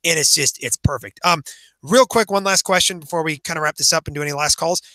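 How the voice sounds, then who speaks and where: A man's voice: a little high, fast talker, bright, forceful tone, no room sound. A man co-hosting a podcast as an author, chatting casually with enthusiasm, emphasis and some repetition. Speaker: podcast co-host and fantasy author.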